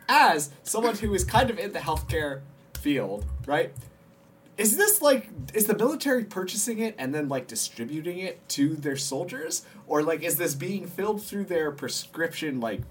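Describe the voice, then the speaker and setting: A man's voice: fairly high pitch, big pitch swings, measured pace, fairly bright tone, slight background noise. A man co-hosting a comedy podcast in casual chat, joking and laughing often. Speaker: podcast co-host.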